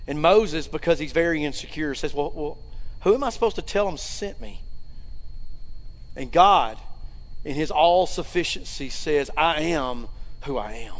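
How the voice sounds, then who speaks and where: A man preaching a sermon in a church, with emphatic swells and asides to the congregation. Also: fairly high pitch. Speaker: pastor. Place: church sanctuary. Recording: hall reverb.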